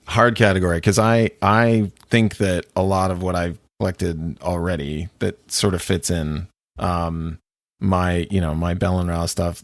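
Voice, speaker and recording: Man, very low voice, dry close sound, casual chatty co-host, laughs easily. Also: no echo. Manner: chatty, rambling, laughs often. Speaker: podcast co-host. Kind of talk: casual chat about watches.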